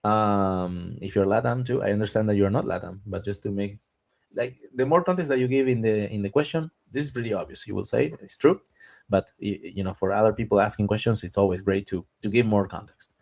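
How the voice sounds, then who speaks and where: Man hosting a relaxed webinar. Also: fairly low pitch, a little fast, vocal fry. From Argentina. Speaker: webinar host answering viewer questions. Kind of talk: live webinar Q&A on visas and taxes.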